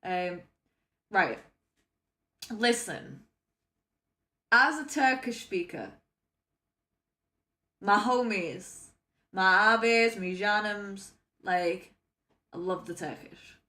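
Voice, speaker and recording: Bright-voiced woman, chatty reaction host. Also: mid-range voice, very slow, pauses often. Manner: chatty, playful, wanders between hype and mumbled asides. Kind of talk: casual reaction chat. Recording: roomy echoey room.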